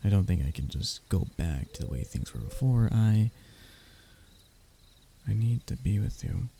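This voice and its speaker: A man playing a lovesick young royal heir in an intimate romance roleplay, stammering and hesitant, with a husky, breathy voice. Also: fairly low pitch, sing-song, a little slow, dark tone, a little hiss or hum, heavily acted. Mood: pleading. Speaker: voice actor in audio roleplay.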